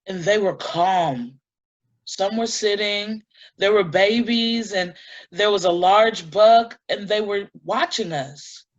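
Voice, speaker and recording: An actress playing a shaken, earnest woman giving deliberate testimony in a dramatic staged reading, on a lo-fi recording. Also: mid-range voice. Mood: hushed wonder. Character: shaken, earnest woman recounting an eerie night in woods.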